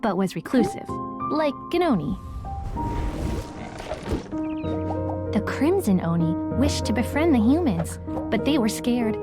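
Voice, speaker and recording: A woman's voice: a little high, sing-song, normal speed. A woman playing a shrewd, composed young detective in a video game, confident and matter-of-fact. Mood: measured storytelling. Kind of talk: video game voice acting and storytelling. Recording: game music behind.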